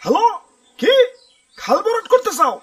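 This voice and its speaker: A man's voice: extremely high pitch, sing-song, moderate pace, a bit bright, heavily acted. A man voicing a glib, corrupt city official in a comic cartoon, placating and evasive, with a Bangladeshi accent. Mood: dismissive, glib.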